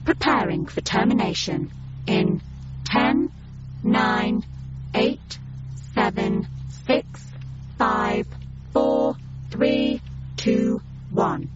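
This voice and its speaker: An actress playing an impassive alien computer that makes flat, formal announcements in a science fiction radio comedy drama. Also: female voice, high-pitched, drawn-out words, pauses often. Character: impassive female alien computer counting down to destruction. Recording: muffled broadcast, loud music over it.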